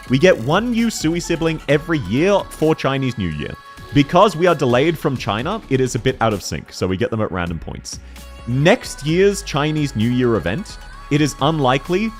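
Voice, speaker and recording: A young man with a deep voice streaming a game and chatting with viewers, excitable and slangy, laughing often and quick to correct himself. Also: faint music behind. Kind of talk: casual live-stream chat, answering viewer questions.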